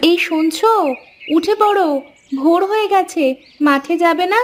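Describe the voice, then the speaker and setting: A woman's voice: extremely high pitch, normal speed, slight background noise. A woman voicing a caring, practical village farmer's wife in a cartoon folk tale, homely and coaxing.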